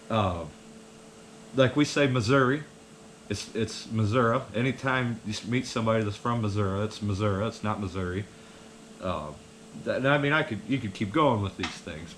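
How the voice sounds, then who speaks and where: A man's voice: on the low side, fairly bright tone, slight background noise. A man tells casual, meandering personal stories in a folksy way. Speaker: vlogger telling personal stories. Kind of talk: casual rambling storytelling.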